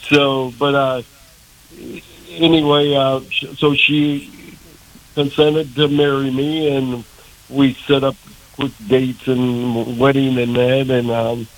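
A man's voice: medium pitch, flat delivery, slow, low-quality recording. An American man in his sixties or older, from Michigan, giving a personal testimony conversationally as a radio interview guest, plain-spoken and earnest. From Michigan, United States. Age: 60s or older.

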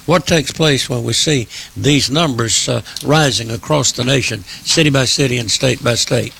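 A man hosting a radio interview as a pastor, speaking in a formal, deliberate, preacherly way. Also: mid-range voice, gravelly, a bit bright, a little hiss or hum.

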